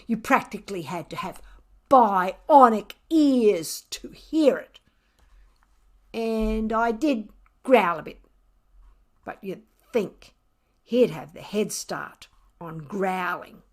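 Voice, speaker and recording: A woman voicing a grumpy, sardonic pet cat in an indignant, self-justifying storybook narration with drawn-out emphasis. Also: medium pitch, a bit bright, full character voice. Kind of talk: expressive children's storybook reading.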